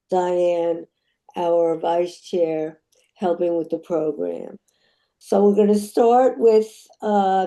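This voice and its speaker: An older woman chairing a retirees' meeting, introducing speakers slowly with many fillers over a video call. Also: mid-range voice, vocal fry, warm tone. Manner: slow, halting, with many fillers. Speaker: chapter chairperson.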